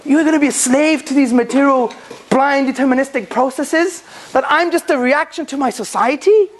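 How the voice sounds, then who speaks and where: Lecturer, high-voiced, talking to a live room. Punchy rhetorical questions, playful asides, quick scripture recitation. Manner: rhetorical, fires repeated questions at the listeners; jokey asides with bursts of laughter. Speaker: public speaker addressing an audience. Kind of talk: lively public talk on faith and philosophy.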